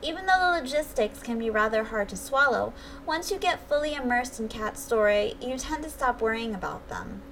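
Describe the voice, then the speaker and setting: Higher-voiced woman reading a scripted review. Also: lively intonation, moderate pace, few pauses, fairly bright tone, slight background noise, poor audio. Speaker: book reviewer and channel host.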